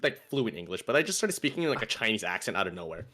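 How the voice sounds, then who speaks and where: A man co-hosting a casual chat with friends, talking in an animated, self-mocking way with frequent laughs; his first language is Cantonese. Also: on the low side, racing. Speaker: podcast co-host.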